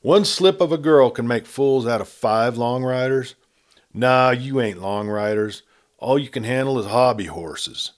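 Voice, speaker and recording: A man's voice: mid-range voice, animated, measured pace, pitched above the performer's own voice, lightly acted. Man voicing a taunting outlaw chief. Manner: taunting, commanding, rough frontier talk. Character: sarcastic, menacing male outlaw gang chief. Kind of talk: storybook reading.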